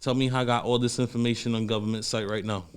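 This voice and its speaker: A man talking as a podcast guest, animated and insistent, repeating himself as he makes his point. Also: medium pitch, fast talker, clear, resonant tone. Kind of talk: casual podcast chat.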